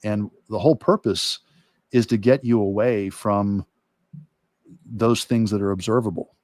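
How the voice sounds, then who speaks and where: A man who teaches art, talking casually and thinking aloud in a halting way during a livestream demo. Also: fairly low pitch, fairly even intonation, a little mellow, no room sound, clean studio sound. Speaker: art instructor and illustrator.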